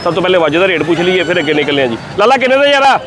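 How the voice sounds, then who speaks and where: A man's voice: high voice, sing-song, racing. A man with a Lahori Punjabi accent hosting a chatty, enthusiastic street vlog in a busy outdoor bazaar, talking straight to his viewers. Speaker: vlogger touring a market. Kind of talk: lively on-the-street market vlog. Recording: busy market crowd behind.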